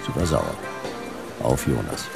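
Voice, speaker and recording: A man playing a gruff, hard-boiled detective in a sci-fi radio drama, terse and laconic with a gravelly voice. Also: rumbling low, sing-song, measured pace, music in the background, exaggerated voice. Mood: wry, laconic. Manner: terse, laconic noir delivery. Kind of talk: German sci-fi radio drama acting and narration.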